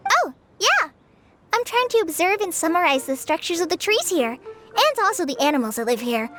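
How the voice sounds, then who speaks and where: A sweet, shy teenage girl from an anime game. Her very high voice lilts with expression and is polite and a little hesitant. Music sits faintly behind it. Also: very animated, moderate pace, fairly bright tone, exaggerated voice. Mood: startled, shy. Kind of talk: English anime game dub.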